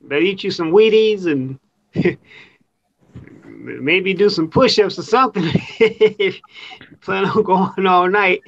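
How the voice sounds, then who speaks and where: A man with a high, gravelly voice jokes around on a group call, laughing between lines. His audio comes through dull and lo-fi. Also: takes it slowly, slight background noise. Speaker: guest on the call. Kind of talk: casual chat. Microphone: online call audio.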